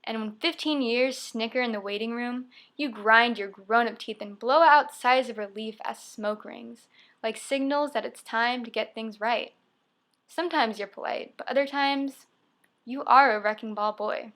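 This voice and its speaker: Bright-voiced young woman reciting poetry. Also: on the high side, normal speed. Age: young adult. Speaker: poet. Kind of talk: spoken-word poetry reading.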